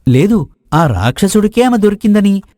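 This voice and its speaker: A man's voice: high voice, big pitch swings, moderate pace, dark tone, no echo, studio quality. A man voicing a prince's knowing friend who eagerly explains tales in a dramatized moral story. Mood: intriguing, explanatory.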